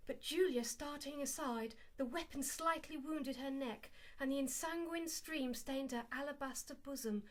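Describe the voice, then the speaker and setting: Actress as naive, gushing young lady, high-pitched. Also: moderate pace, hardly any pauses, lightly acted. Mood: melodramatic reading. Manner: gushing, flustered. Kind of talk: radio play acting.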